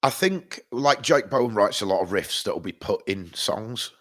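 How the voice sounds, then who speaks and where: A man with a low, deep voice, stuffed up from hay fever and chatting loosely as a podcast co-host. He wanders through his points, restarting sentences and swearing casually. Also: animated, moderate pace, a bit bright. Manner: rambling, swears freely, many restarts. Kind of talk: casual chat.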